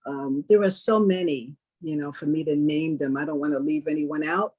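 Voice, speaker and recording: An older woman speaking as an interviewed actress in a casual panel conversation, laying out her points in a measured, affirming way. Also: low-pitched, steady tone, a little mellow. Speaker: stage actress interviewed about a play.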